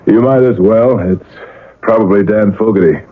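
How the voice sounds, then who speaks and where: A man's voice: on the low side, moderate pace, narrow, tinny audio, lightly acted. An actor playing a possessive, agitated middle-aged father, emphatic and dramatic, in a 1970s radio drama. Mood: guarded. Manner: emphatic, dramatic, repeats phrases for weight. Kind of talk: 1970s radio drama acting and first-person narration. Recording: noisy, band-limited old radio recording.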